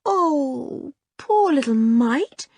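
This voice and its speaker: A woman voicing a gentle, tender-hearted young girl who pleads softly, in a children's story. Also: high voice, expressive, extremely slow, no echo, pitched above the performer's own voice, full character voice. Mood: pitying. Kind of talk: children's audiobook character voice.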